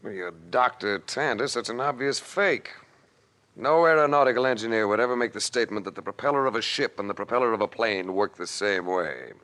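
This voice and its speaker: A cool, wry radio adventurer. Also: male voice, lowish voice, expressive, a little fast, sharp tone. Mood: wry, confident. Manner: dry, unflappable, clipped. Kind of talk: old-time radio drama acting with narration.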